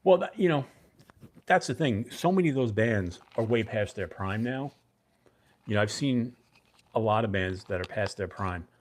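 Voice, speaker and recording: A man's voice: on the low side, animated, normal speed. A middle-aged man from Brooklyn with a mixed New York and New Jersey accent, chatting loosely as the host of a livestream and answering viewers by name. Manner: rambling, talks to chat viewers by name. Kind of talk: casual livestream chat.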